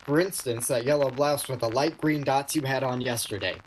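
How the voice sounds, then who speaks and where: A very deep-pitched, prickly male shop clerk played by a woman in a radio play. He is nervous and lovestruck, tripping over his words in a flustered way. Background noise shows in the amateur recording. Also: rough recording. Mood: irritated, curt. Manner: flustered, halting, stammering. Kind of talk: virtual radio play acting.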